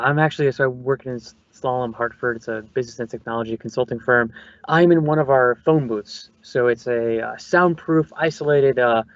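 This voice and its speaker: A good-humored man talks casually as a guest presenter from a small soundproof office booth. His voice sits at a middle pitch and sounds very dry. The recording is dull and lo-fi. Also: no room sound. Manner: good-humored, laughs easily. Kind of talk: casual conversation.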